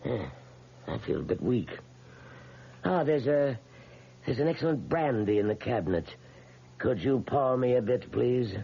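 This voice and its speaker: An actor playing a courteous, composed old gentleman with a measured, formal, wistful way of speaking in a radio drama. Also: medium pitch, lively intonation, creaky, slightly rough, full character voice. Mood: frail, courteous. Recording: muffled old-radio sound.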